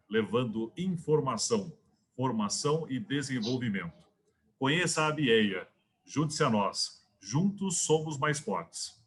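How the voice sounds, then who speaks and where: A man's voice: medium pitch, a bit bright. A man hosting as association president, formally introducing a guest and asking questions. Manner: formal, measured. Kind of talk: scripted intro then interview questions.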